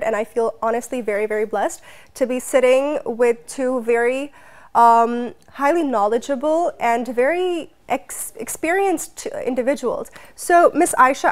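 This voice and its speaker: A woman hosting a talk show and interviewing guests in an effusive, eager manner. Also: sits high.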